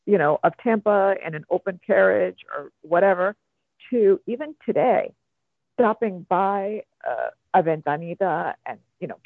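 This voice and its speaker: A man's voice: high-pitched, gravelly, dry recording. A man from Cuba, a guest in a radio interview, talking in a chatty, rambling way with many fillers. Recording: tinny phone line.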